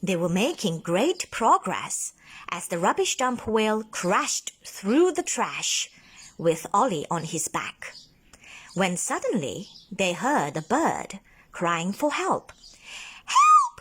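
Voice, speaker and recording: A woman narrating a children's bedtime story in a deliberate, dramatic manner, stretching words for emphasis. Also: medium pitch, very animated, a bit bright, husky. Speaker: speech and drama teacher reading a story.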